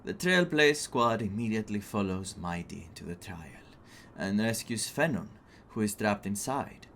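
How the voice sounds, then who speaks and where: A young man with a Greek accent streaming a game, chatting excitedly, laughing often and reading quest text aloud. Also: fairly low pitch, normal speed, vocal fry, slight background noise.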